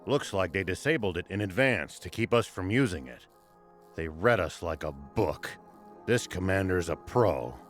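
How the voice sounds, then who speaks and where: A man playing an old legendary general in a video game, calm, gruff and commanding in a matter-of-fact way. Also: sits low, moderate pace, soft background music. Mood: calm, assured.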